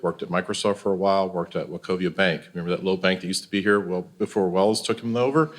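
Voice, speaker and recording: American guy, kinda low voice, chatting on a panel like a consultant explaining his work. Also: fairly bright tone. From Louisiana, US. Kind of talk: conversational panel talk.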